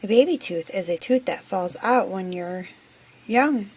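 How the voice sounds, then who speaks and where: A reassuring mother pig from a children's storybook, played by a woman reader. The voice is a little husky and explains things gently and patiently. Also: mid-range voice, expressive, unhurried, poor audio.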